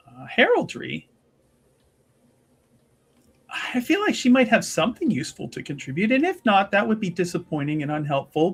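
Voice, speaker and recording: A chipper, sly lady investigator, acted by a man in a fantasy tabletop game. The voice is high and very animated, with courteous, hesitant, roundabout phrasing. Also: gravelly, slightly rough, exaggerated voice. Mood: earnest, brisk. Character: chipper, sly, well-mannered female investigator.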